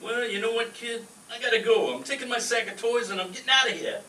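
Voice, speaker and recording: A man's voice: very high-pitched, fast talker, bright, forceful tone. A man playing a shifty, impatient thief posing as Santa in a comic radio skit. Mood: evasive. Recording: echoey, distant stage recording, faint noise.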